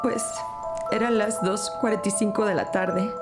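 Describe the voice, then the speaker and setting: A woman playing a distraught, grieving mother in an audio drama, speaking in a halting, anguished way. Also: medium pitch, fairly even intonation, loud music behind the voice, natural voice. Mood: shaken. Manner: halting, repeats words, anguished. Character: distraught grieving mother recounting her son's sudden illness.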